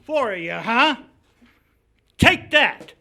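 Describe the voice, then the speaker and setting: A man playing a shouting gangster in a live radio play about a crook fighting the police. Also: very high-pitched, sing-song, slow pace, creaky, background noise, heavily acted. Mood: snarling. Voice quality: shouting, menacing. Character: snarling male radio gangster shooting at police.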